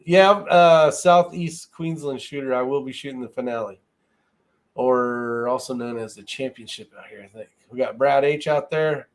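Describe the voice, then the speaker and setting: A man's voice: mid-range voice, moderate pace. A man hosting a casual live-stream chat, talking in an informal, loose and rambling way. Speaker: host of a shooting live chat show.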